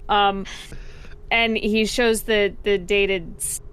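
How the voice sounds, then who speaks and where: A woman's voice: mid-range voice, fairly even intonation, takes it slowly, fairly bright tone, clear, resonant tone. A woman playing a tabletop role-playing game, casually narrating and voicing her character's part. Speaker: tabletop role-play player.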